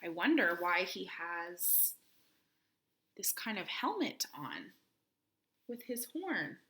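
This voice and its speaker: A woman's voice: fairly low pitch, sing-song, takes it slowly, sharp tone. A woman teacher doing a read-aloud for young children, talking to them directly with questions and prompts. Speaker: primary school teacher.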